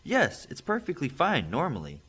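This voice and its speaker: A man reading the part of a polite, firm official woman in her thirties, formal and measured, in an audiobook. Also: fairly high pitch, wildly swooping pitch, a bit bright, breathy edge, pitched above the performer's own voice, exaggerated voice. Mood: polite, businesslike.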